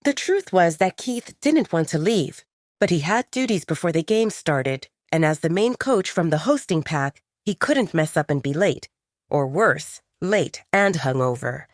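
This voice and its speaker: A woman narrates dramatic fiction in a slightly low voice. Her delivery is expressive, with a lively melody that moves freely. The sound is close and dry. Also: measured pace, dry recording. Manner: expressive storytelling. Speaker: audiobook narrator. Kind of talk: scripted dramatic fiction narration, third person.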